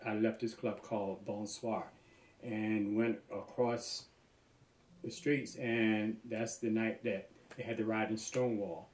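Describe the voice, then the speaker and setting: A man in his seventies from North Carolina, slowly and haltingly recalling his past in a documentary interview. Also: on the low side, darkish tone. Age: 70s. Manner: slow, halting recollection. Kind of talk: reflective personal storytelling. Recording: echoey room.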